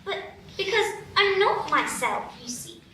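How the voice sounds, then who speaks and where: Woman doing a bright, high little girl, proper and eager, echoey stage. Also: unhurried, exaggerated voice. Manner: earnest, excitable, prim. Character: polite curious young girl. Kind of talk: live radio play acting. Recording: echoey stage, distant mic, room noise.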